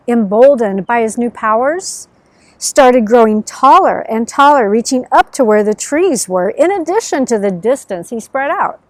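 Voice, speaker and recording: A woman's voice: fairly high pitch, no room sound. A middle-aged woman narrating as a storyteller, reading aloud to children outdoors. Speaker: host, storyteller and author. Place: outdoors under a tree by a field.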